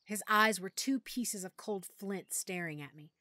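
A woman's voice: mid-range voice, unhurried, no room sound, clean studio sound. Woman narrating an audiobook in first person. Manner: expressive, clear storytelling. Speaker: narrator and lead character.

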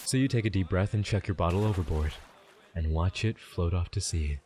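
A man's voice: low-pitched, brisk, dark tone, faint music behind. A man narrating a scripted comedic sponsor read as channel host, tongue-in-cheek.

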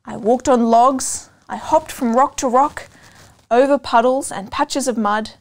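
A young adult woman reading a children's storybook aloud, expressive and deliberate, pausing to put questions to listeners. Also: a little high. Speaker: storytime reader. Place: indoor room with couch and bookshelves.

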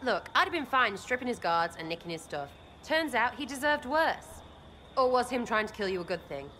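A cheeky young elf woman archer with an English accent, high and very animated. She is blunt and slangy, jumping between thoughts like a video game rogue with no respect for anyone. Faint ambience sits behind her. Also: normal speed, slight background noise. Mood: defiant.

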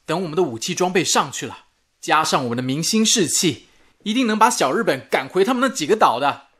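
An actor in a radio drama playing a steady, earnest, resolute wartime radio station colleague. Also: male voice, on the high side, fairly quick.

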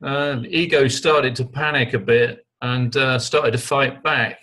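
A man's voice: medium pitch, steady tone, a little slow, sharp tone, rough recording. An older man with an English accent sharing thoughts on a video call, hesitant with many ums and uhs. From England. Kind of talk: casual group video call.

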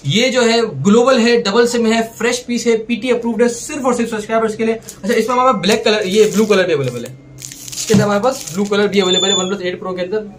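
A young shop seller from Pakistan with a Karachi Urdu accent and a fairly high voice runs through phone prices in a brisk, repetitive patter, mixing in English number words. He is close on a lapel mic in a small indoor room, gesturing as he talks. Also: slight background noise. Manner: gestures and holds up phones while talking. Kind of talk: sales pitch vlog.

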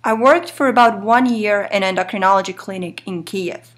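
A woman in her thirties from Ukraine with a strong Eastern European accent, whose first language is Ukrainian. She gives an earnest, measured introduction to her course, addressing her students directly. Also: mid-range voice. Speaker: instructor.

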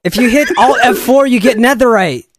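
A man's voice: extremely high pitch, moderate pace. A young man chatting casually on a game stream, joking and swearing, at a close desk mic. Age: young adult. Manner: jokey, sweary. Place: indoors at a desk. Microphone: close streaming mic on boom arm. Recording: faint background noise and clicks.

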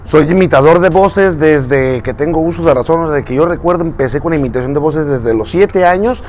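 A man's voice: on the high side, fairly quick, darkish tone, muffled recording, a little hiss or hum. A man in his thirties from Mexico with a Mexican Spanish accent, chatting to camera as a YouTuber and voice impressionist. He talks earnestly, checks his notes and gestures with his hands while he speaks. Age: 30s. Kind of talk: casual talk to camera. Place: outdoors in a recreational park, standing against a pale wall.

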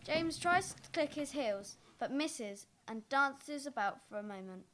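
A woman's voice: sits high, lively intonation, measured pace, fairly bright tone, a little hiss or hum. A young woman reading stage directions as scripted narration for a play. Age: young adult.

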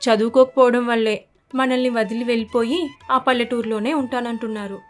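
Woman voicing a high-pitched, considerate young daughter-in-law. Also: steady tone, brisk, natural voice. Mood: explaining. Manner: polite, coaxing. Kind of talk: animated moral story dialogue. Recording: faint music bed behind the voice.

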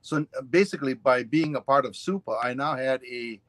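A man's voice: mid-range voice, brisk, fairly bright tone, no echo. A man in his sixties from Hawaii, a ukulele teacher telling his story on a video call, wandering through asides and fillers. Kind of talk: casual interview chat. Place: home room on a video call. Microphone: webcam on a video call.

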